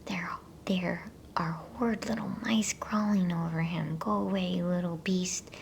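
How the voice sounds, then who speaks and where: A woman voicing a weary, squeamish young storybook girl in a hushed whisper. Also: fairly low pitch, steady tone, measured pace, creaky, a little hiss or hum. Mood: disgusted.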